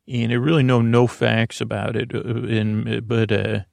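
A man's voice: flat delivery, normal speed, vocal fry, a little mellow, no echo. A man hosting a bedtime podcast, rambling in a meandering, easygoing way with lots of hesitations and self-corrections. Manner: low-key, easygoing. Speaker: podcast host.

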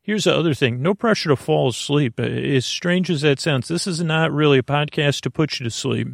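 A man hosting a sleep podcast in a creaky voice, with a meandering, hesitant, self-interrupting and low-key, reassuring delivery. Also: brisk, no room sound, clean studio sound. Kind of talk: rambling bedtime monologue.

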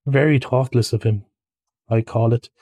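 A man's voice: mid-range voice, fairly even intonation, moderate pace, mellow, breathy edge, dry recording, close to an everyday voice. A man voicing a self-pitying, literal-minded mole in a story, with a plaintive, huffy way of complaining. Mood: huffy, sarcastic.

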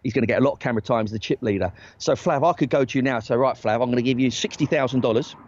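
A man's voice: mid-range voice, racing, no echo. Chatty man telling a story, dry dull sound. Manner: animated, lots of "right?" tag questions, bursts of laughter. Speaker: talent agent, podcast guest. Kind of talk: casual storytelling chat.

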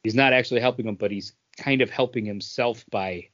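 A man co-hosting a casual review podcast, speaking conversationally and often pausing mid-sentence to find his words. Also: mid-range voice, fairly bright tone, highs cut off. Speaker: podcast co-host reviewing comic books.

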